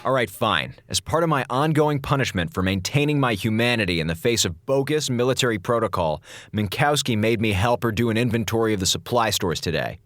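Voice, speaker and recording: A man's voice: lowish voice, animated, a little fast, a bit bright. An actor playing a wisecracking, sarcastic male communications officer who rambles and jokes through his log. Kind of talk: audio drama log narration.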